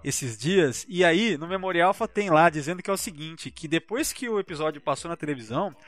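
Brazilian male podcast panelist, high-pitched, informal, slangy and keen. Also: animated, a little fast, a bit bright, dry recording. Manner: enthusiastic, slangy, swearing. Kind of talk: casual geek chat.

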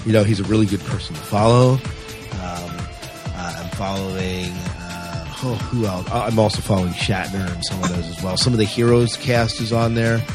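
A man's voice: sits low, normal speed, over music. A man hosting a podcast, chatting casually and informally. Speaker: podcast host. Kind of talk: casual podcast outro chat.

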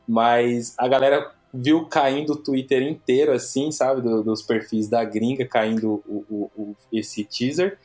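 A man's voice: mid-range voice, fairly even intonation, unhurried, hardly any pauses, darkish tone, soft background music. A man with a Brazilian Portuguese accent hosting a podcast, chatting casually and rambling, with many restarts and tag questions. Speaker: podcast host.

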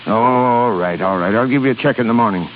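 A man acting a gruff, irascible but soft-hearted old shipping boss in a 1930s radio play. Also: medium pitch, fast, lightly acted. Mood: grudging, indulgent. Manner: gruff, irascible, colorful sailor's insults. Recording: hissy, crackly, tinny 1930s radio recording.

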